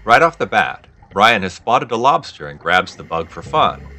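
A low-pitched man narrates a dive film in scripted documentary style. His voice is bright and forceful with animated intonation, explaining things in measured sentences over music. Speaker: narrator, scuba diver.

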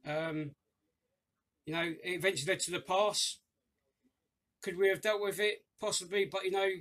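A man's voice: sits high, sharp tone. A man in his thirties hosting a fan channel, talking through a football match review at home, rambling with many fillers and restarts and calling his viewers ladies and gents. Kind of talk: after-match review monologue. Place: small room at home, indoors.

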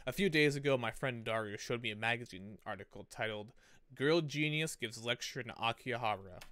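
A man's voice: medium pitch, dry recording. A man streaming a visual novel, reading it aloud and adding his own casual asides. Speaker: game streamer. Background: loud game music.

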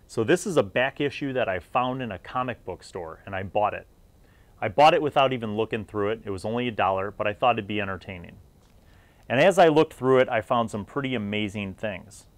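A man's voice: on the low side, animated, fairly quick, dry recording. A middle-aged man hosting a video series, talking to viewers in a conversational, emphatic way with trailing asides. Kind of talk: retrospective review talk to viewers.

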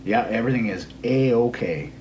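A man's voice: mid-range voice, normal speed, a little mellow, highs cut off, a little hiss or hum. A middle-aged man giving a casual, explanatory English idiom lesson with a teasing streak. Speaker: English teacher and video host. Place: small home room at a computer desk.

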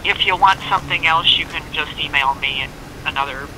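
An American government records officer explaining things informally and haltingly over a phone speaker, in a helpful way. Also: mid-range voice, a crowd in the background. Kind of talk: casual explanatory phone conversation. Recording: noisy speakerphone call picked up outdoors.

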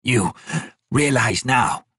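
A man voicing a bitter, chained, crippled god in an audiobook, rasping and wheezing, with slow, laboured, archaic speech. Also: mid-range voice, animated, fairly bright tone, no room sound, clean studio sound, exaggerated voice. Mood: menacing.

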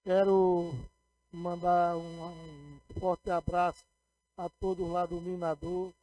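High-voiced councilman. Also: flat delivery, slow, low-quality recording. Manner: shout-outs to rural communities, repeats phrases, gestures widely. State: sore throat. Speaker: city councilman. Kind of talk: council floor speech. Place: municipal council chamber, indoors. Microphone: handheld microphone.

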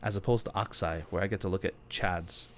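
A man's voice: low-pitched, steady tone, brisk, background noise. A man giving casual gameplay commentary, thinking aloud as he chats and answers questions. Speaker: gaming YouTuber.

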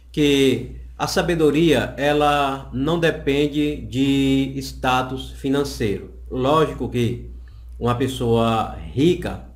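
A Brazilian man in his thirties explaining a Bible lesson in a didactic way, repeating phrases for emphasis. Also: medium pitch. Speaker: Bible teacher. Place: small indoor office. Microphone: condenser microphone on the desk. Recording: roomy, echoing small office.

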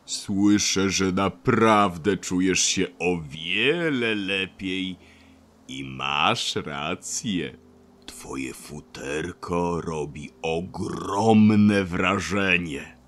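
A man playing an ancient, wise and weary grumbling beech tree in an audio drama, sighing and deliberate. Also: sits low, fairly bright tone, heavily acted. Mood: fond. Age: older. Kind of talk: audio drama character acting.

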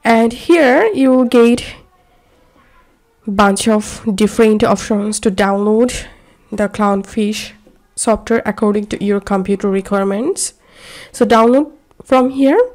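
A woman narrating a scripted software tutorial, giving step-by-step instructions. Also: mid-range voice, slow pace.

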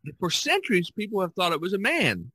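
A man with a bright, forward voice talks casually as a podcast co-host. He tells stories haltingly, circling back and repeating himself, and the sound is close and dry. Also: high voice, huge pitch swings, breathy edge, dry recording. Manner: halting, repetitive storyteller. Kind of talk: casual art discussion.

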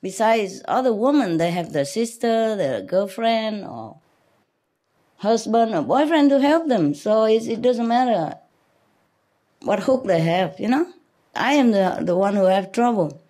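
A woman's voice: medium pitch, expressive, measured pace, dark tone. A middle-aged woman, a spiritual teacher, talking informally to a seated group through a desk mic, chatty, laughing often and restarting her sentences. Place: room with paper screens, audience in a hall. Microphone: desk mic on a stand.

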